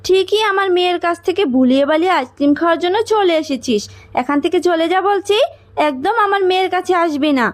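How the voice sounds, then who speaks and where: A woman's voice: very high voice, fast talker. A woman voicing a haughty tigress mother in a fable cartoon, scolding with contempt. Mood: angry. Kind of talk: children's cartoon voice acting.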